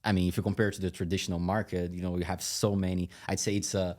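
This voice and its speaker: Man, very deep voice, mellow, halting explanations, interview guest. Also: flat delivery. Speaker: podcast guest, tech company chief executive. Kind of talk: conversational interview.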